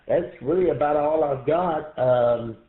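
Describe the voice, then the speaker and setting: Texan ham operator, unhurried, roomy thin radio sound. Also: male voice, a little high. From Texas. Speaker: radio amateur. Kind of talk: casual on-air chat over radio net. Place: indoors in a radio shack. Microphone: radio station microphone in home shack. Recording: roomy, phone-like radio audio.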